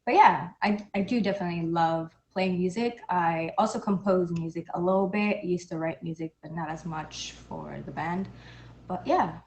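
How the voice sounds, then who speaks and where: A woman's voice: on the low side, fairly even intonation, moderate pace, darkish tone, low-quality recording. A woman from Canada whose first language is Portuguese, chatting as an interview guest in an easygoing, modest way. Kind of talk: casual interview.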